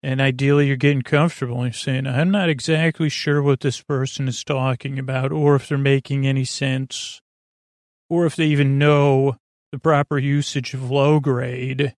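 A man hosting a podcast with a creaky voice, giving a goofy, meandering monologue full of filler words and tangents, meant to help listeners fall asleep. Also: medium pitch, little pitch movement, normal speed, no echo, clean studio sound. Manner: goofy, absurd, self-deprecating. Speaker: podcast host. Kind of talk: rambling bedtime monologue to help listeners sleep.

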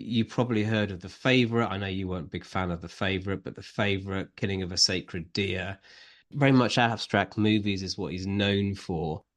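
A man co-hosting a casual film podcast, describing films enthusiastically, with a lingering chesty cough. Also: on the low side. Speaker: podcast co-host, film reviewer. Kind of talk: casual film review chat.

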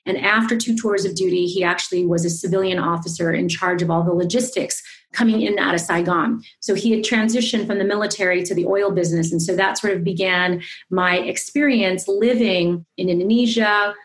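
A woman from Singapore, a podcast guest, telling her story in an effusive, animated way with frequent restarts. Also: lowish voice, fairly quick. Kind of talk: interview, personal storytelling.

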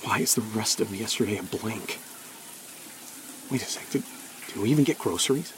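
A man's voice: on the low side, expressive, normal speed, noisy background. An actor playing a bewildered, wary man in an audio drama, hesitant and muttering sardonic asides. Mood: suspicious, sardonic. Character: bewildered, wary man puzzled by his housemate's behavior. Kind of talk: audio drama dialogue and monologue.

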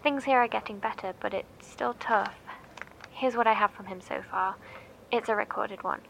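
An actress playing an anxious bunker survivor who hesitantly and reluctantly makes a broadcast in an audio drama. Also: female voice, medium pitch, creaky, fairly bright tone, slight background noise, rough recording. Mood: nervous, downcast. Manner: hesitant, halting, sighing.

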